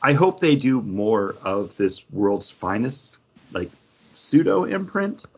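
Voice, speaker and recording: Man, husky voice, keen fan-style casual chat, podcast co-host, slightly lo-fi sound. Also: medium pitch, sing-song, takes it slowly.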